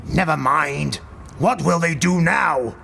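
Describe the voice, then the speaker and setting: A man voicing a commanding dark elf highborn warlord for a fantasy audiobook, with an imperious manner. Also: takes it slowly, vocal fry, a little hiss or hum, heavily acted. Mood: curt. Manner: imperious, barking orders. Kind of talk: fantasy audiobook character acting. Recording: music bed behind the voice.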